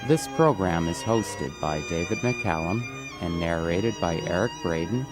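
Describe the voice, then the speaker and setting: A male announcer with a very low voice delivers a scripted closing read. He is formal and orderly, as if for end credits, while violin music plays clearly underneath. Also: expressive, measured pace.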